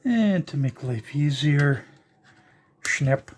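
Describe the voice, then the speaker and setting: An older electronics hobbyist giving a casual, unscripted teardown narration, halting and musing aloud while working with their hands. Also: mid-range voice, expressive, background noise. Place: indoors at a workbench.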